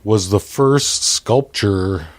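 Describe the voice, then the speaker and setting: A man with a deep, low voice talks casually as a guest, feeling his way through sentences with pauses. The sound is close and dry. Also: no echo. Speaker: podcast guest, amateur sculptor and artist. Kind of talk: casual chat.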